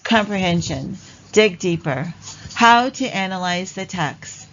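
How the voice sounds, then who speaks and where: A woman narrating a read-along lesson for children in a deliberate, instructional way. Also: on the low side, lively intonation, a bit bright, dull audio, slight background noise.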